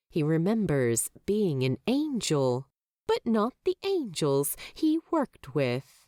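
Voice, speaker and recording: Slow, lively woman narrating a story. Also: no room sound, clean studio sound. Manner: expressive storytelling with wide swings of pitch. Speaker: podfic narrator. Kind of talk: reading fan fiction aloud.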